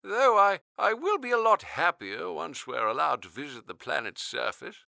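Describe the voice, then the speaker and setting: An actor playing a gentle, wistful ninety-three-year-old man with a creaky voice, courteous and halting in an audio drama interview. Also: on the high side, very animated, no room sound, clean studio sound, heavily acted. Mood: polite, wistful. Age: older. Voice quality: creaky, aged voice.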